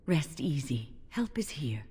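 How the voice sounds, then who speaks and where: A man acting a grave, reassuring companion in a fantasy video game, with a deep, husky voice. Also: big pitch swings, unhurried, mellow, heavily acted. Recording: long echoing reverb.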